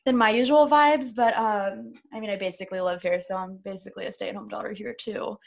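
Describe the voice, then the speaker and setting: A woman's voice: mid-range voice, steady tone, fairly quick, gravelly. A young woman from Texas chatting casually as a podcast co-host, giggly and full of "like" and "um". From Austin, Texas. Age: young adult. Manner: chatty, giggly, heavy use of "like" and "um". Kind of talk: casual chat.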